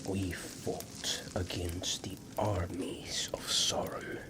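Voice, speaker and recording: A man playing a weary, gentle war companion in a fantasy ASMR roleplay, whispering softly and reassuringly with long pauses. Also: low voice, little pitch movement. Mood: solemn, relieved. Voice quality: soft, breathy whispering.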